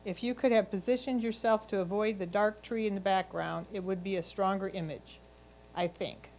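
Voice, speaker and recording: A woman's voice: medium pitch, steady tone, moderate pace, a little mellow, a little hiss or hum, low-quality recording. A woman reading written photo critiques aloud at a club competition. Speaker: reader of judges' comments.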